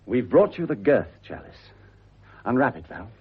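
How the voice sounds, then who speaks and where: An actor playing a flippant, unruffled gentleman adventurer with an English accent and a dry, polite manner in a radio drama. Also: mid-range voice, very animated, moderate pace, natural voice. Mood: assured. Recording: dull, noisy old radio recording.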